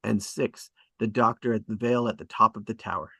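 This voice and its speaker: A man's voice: fairly low pitch, steady tone, a little fast, creaky, a bit bright, husky, dry recording. A man reading out scene directions as scripted narration at a drama table read. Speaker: reader of stage directions.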